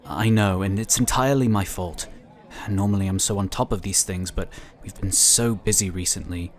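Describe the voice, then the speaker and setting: A man's voice: sits low, normal speed, a little hiss or hum, natural voice. A man playing an affable, talkative and polite psionic inquisitor in a sci-fi audio drama. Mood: apologetic, chatty. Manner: talkative, easygoing, explanatory.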